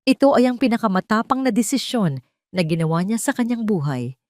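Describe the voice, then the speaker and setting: A woman's voice: mid-range voice, big pitch swings, a little fast, darkish tone, no room sound, studio quality. A woman reading a scripted dramatic story as its narrator.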